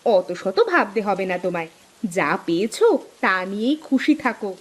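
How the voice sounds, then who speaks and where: A woman's voice: mid-range voice, sing-song, measured pace. A woman voicing a practical, optimistic village housewife in a cartoon, coaxing and insistent in her delivery. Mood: pleased, reassuring. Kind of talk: dramatised cartoon dialogue.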